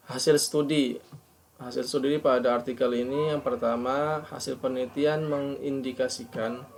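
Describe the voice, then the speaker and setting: A man giving an academic presentation as a student, formally reading out points and then explaining them haltingly. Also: medium pitch, a little mellow, a little hiss or hum. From Indonesia. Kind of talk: academic presentation of an article review.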